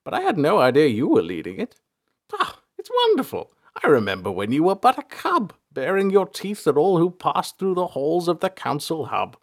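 Give this doddery old man from a fantasy storybook a warm, excitable delivery. His pitch swoops well up into a high register as he exclaims and reminisces fondly. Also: moderate pace, full character voice. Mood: fondly nostalgic. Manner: exclaiming, nostalgic, fond.

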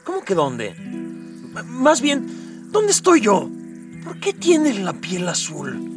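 Male actor as indignant, confused Mexican cop. Flustered, very animated radio comedy read, music bed, a little distant. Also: sits high, takes it slowly, full character voice. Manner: flustered, plaintive, colloquial. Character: bewildered, indignant Mexican police officer lost in space. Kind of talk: comedic radio drama acting. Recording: distant miking, music underneath.

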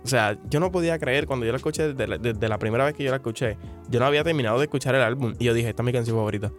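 A man's voice: mid-range voice, rapid-fire. A man from Puerto Rico with a Puerto Rican accent, co-hosting a casual music chat and often stumbling and restarting his sentences. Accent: Puerto Rican Spanish. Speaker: podcast co-host.

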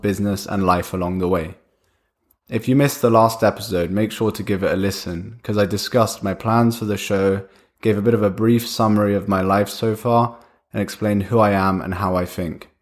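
A young man hosting his own podcast, talking through ideas in a relaxed, conversational way while he has a cold. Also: low-pitched. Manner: conversational, thinking aloud with fillers and restarts. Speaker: podcast host. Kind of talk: casual solo podcast monologue.

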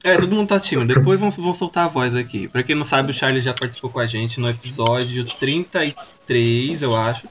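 A man co-hosting a podcast, lively and bossy with his friends in casual chat. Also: medium pitch, fast talker, dull audio, a little hiss or hum. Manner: lively, bossy ringleader of the group. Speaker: podcast co-host.

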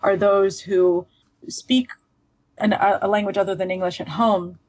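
A woman's voice: mid-range voice, level tone, unhurried, darkish tone, no room sound. A woman from Russia, a native Russian speaker, chatting as an interview guest in a friendly, self-deprecating way. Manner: chatty and self-deprecating, with fillers and light laughs. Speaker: guest author. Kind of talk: casual interview conversation.

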